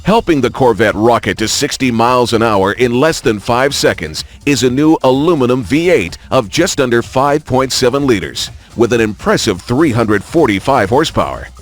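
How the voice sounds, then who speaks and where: A man reading scripted documentary narration in a polished, measured announcer style. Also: mid-range voice. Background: music.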